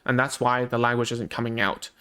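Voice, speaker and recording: A man whose first language is Cantonese talks loosely through how children learn language, as a speech therapist would with parents. His pitch sits in the middle for a man, and he keeps it conversational, with frequent fillers and restarts. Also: little pitch movement, brisk, pushed and bright, clean tone. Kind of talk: casual explanatory talk to parents.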